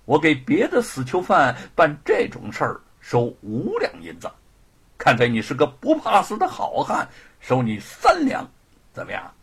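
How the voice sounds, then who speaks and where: A man acts out a greedy jailer in a storybook tale. The voice is rough and high, leaping about in pitch. He wheedles in folksy speech and stammers when flustered. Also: wildly swooping pitch, normal speed, fairly bright tone, heavily acted. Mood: wheedling. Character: greedy, jumpy male prison jailer, easily exasperated.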